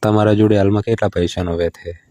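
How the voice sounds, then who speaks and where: A man's voice: lowish voice, fairly even intonation, fast talker, warm tone, no echo, close to an everyday voice. A man with a Gujarati accent playing a hard-up village lover who stalls, placates and bargains in a casual phone conversation. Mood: pleading.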